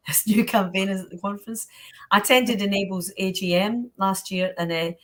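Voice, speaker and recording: A Scottish woman hosting a panel Q&A in a chatty, colloquial, encouraging way. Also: medium pitch. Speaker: panel host.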